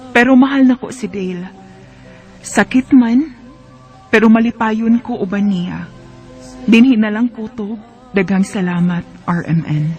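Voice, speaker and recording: A woman's voice: mid-range voice, darkish tone, lightly acted. An actress with a Cebuano Filipino accent playing a long-suffering, loving wife who narrates her own story for a radio drama. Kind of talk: dramatised first-person letter narration.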